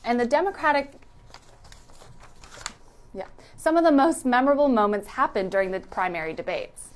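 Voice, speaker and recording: A woman's voice: a little high, moderate pace. A woman reading a scripted narration in the style of a news recap.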